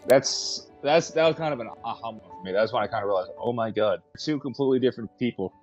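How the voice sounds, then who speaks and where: A man's voice: mid-range voice, fast talker, muffled recording, soft background music. A young American man in a casual interview, laid-back, chuckling often and hedging his words. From United States. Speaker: language program student.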